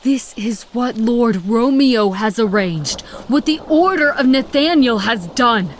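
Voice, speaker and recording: A woman's voice: fairly high pitch, lively intonation, takes it slowly, a little hiss or hum. An actress voicing a determined, zealous female agent of a secret order in a video game, solemn and poised. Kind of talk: dramatic video game dialogue.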